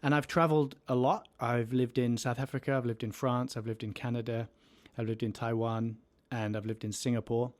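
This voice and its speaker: A man's voice: medium pitch, little pitch movement, brisk, darkish tone. A man in his thirties, a teacher co-hosting a casual podcast with a playful, self-mocking humor. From England.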